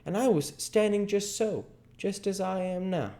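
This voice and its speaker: A man's voice: high-pitched, measured pace, dark tone, pitched above the performer's own voice. A man playing an awestruck, self-important village child in a storybook, chattering earnestly. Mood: awed, eager.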